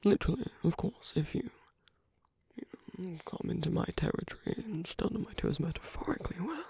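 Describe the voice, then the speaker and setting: Breathy, whispering suave villain played by a man. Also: sits high, expressive, a little fast, dry recording, studio quality, heavily acted. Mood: playful, reassuring. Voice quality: breathy, soft, half-whispered. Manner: teasing, gentle, coaxing. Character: suave flirtatious male villain wooing a hero at a masquerade. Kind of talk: ASMR roleplay, intimate scripted monologue.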